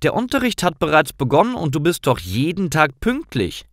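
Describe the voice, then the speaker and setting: Man playing a concerned young woman, raised pitch. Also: sing-song, moderate pace, dry recording, studio quality. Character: concerned young female student friend on the phone.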